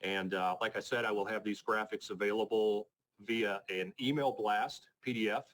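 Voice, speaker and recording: Man, lowish pitch, weather forecaster, informal technical briefing, plain-spoken, measured delivery, conference call presentation. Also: steady tone, fairly bright tone, slight background noise.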